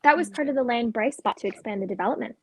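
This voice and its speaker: Casting: wry, tired suburban young woman female actor, slightly raspy higher voice, understated stage drama delivery. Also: rapid-fire. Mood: guarded. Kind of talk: dramatic script reading.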